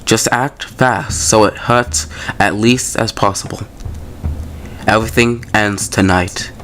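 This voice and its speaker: A man's voice: fairly low pitch, fairly even intonation, slow, fairly bright tone, soft background music. A man hosting a gaming video, casually commenting and reading story text aloud in an informal, slangy way.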